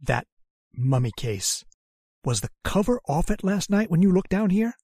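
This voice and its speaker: A man's voice: high voice, very animated, moderate pace, dark tone, husky, dry recording, studio quality, full character voice. A man playing an anxious, practical expedition helper in old-time radio horror, speaking in terse phrases. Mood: shaken.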